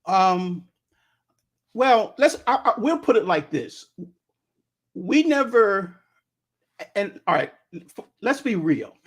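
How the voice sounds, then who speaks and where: A man, a guest instructor talking about marriage in a halting way, with frequent ums and restarts. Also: sits high, big pitch swings, husky. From Brooklyn, New York. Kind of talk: casual chat on marriage.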